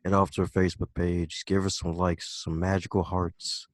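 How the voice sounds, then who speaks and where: A man's voice: low voice, little pitch movement, moderate pace, dry recording, studio quality. A man hosting a podcast interview, upbeat and playful, repeating his catchphrases. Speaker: podcast host. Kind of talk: casual interview chat.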